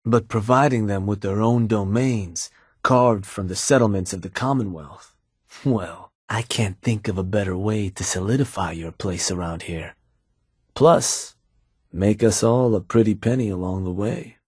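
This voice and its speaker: An actor playing a calm, seasoned male raider advisor who talks in an easygoing, folksy drawl. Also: lowish voice, unhurried, husky, dry recording. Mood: steady. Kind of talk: game voice acting. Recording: dry studio recording.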